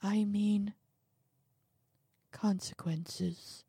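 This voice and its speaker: Woman playing a timid little man in a storybook, high, hushed, pleading tone. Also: animated, slow pace, dry recording, full character voice. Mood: warning. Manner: soft, high and pleading, sometimes whispered. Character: small anxious man, pleading and warning.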